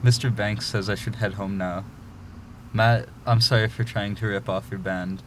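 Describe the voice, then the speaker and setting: A man's voice: on the low side, fairly even intonation, moderate pace, noisy background, natural voice. A man playing a sympathetic, outspoken schoolboy in an audio drama, casual and earnest. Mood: apologetic.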